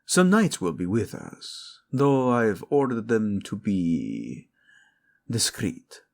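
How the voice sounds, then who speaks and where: A man playing a devoted, protective prince in a romantic audio roleplay, speaking intimately with formal phrasing, Spanish endearments and a husky voice. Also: on the low side, big pitch swings, takes it slowly, exaggerated voice. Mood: playful, warm. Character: devoted, protective young prince, tender lover.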